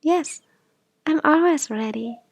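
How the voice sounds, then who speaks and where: A woman guiding an affirmation meditation in soft, gentle, breathy phrases that often drop toward a whisper. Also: high-pitched, expressive, slow, no room sound. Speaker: meditation guide.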